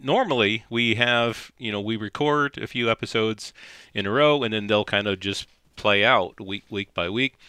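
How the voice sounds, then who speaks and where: A man's voice: medium pitch, sharp tone, no room sound, studio quality. A middle-aged man hosting a podcast in casual chat, rambling and chuckling as he talks. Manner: rambling, chuckling, self-correcting. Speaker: podcast host.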